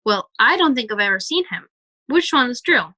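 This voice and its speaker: A woman playing a bright-voiced, indulgent mother. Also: on the high side, expressive, normal speed, no echo. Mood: curious. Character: patient, amused, indulgent mother. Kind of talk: audio drama acting.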